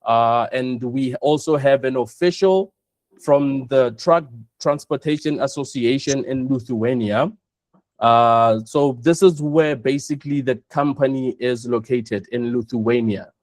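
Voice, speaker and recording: A man's voice: mid-range voice, darkish tone, no echo. South African man hosting a webinar. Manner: measured, repeats points for emphasis. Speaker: webinar host, operations chief. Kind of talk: presenting an online webinar.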